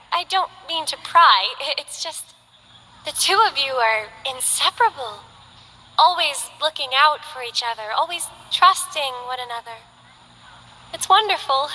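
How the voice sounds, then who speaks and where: A shy, sweet young acolyte. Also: female voice, high-pitched, full character voice, rough recording. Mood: flustered. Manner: soft, hesitant, apologetic. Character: gentle young female acolyte of a holy order, timid and devout. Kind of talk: game character voice lines.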